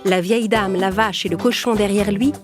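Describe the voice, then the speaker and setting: A woman's voice: mid-range voice, fairly even intonation, normal speed, music in the background. A woman narrating a scripted children's story as storyteller.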